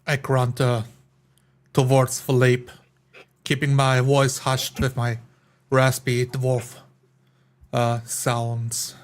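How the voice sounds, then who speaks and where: A man playing a tabletop game, narrating his character's actions in the first person, halting and deliberate. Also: mid-range voice, monotone. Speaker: tabletop roleplay player.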